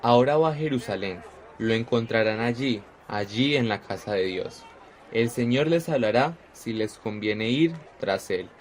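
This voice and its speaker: A man's voice: medium pitch, lively intonation, a little slow, slight background noise, lightly acted. A man playing a solemn preaching disciple. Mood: exhorting. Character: Esteban, a gentle earnest male disciple. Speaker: disciple exhorting the crowd. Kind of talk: radio drama acting.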